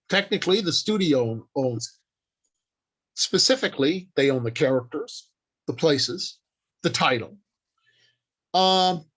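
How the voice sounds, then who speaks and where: Slow-paced man giving an informal talk. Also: high voice, fairly bright tone. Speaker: writer and convention panel speaker. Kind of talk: informal instructional talk, partly improvised from notes.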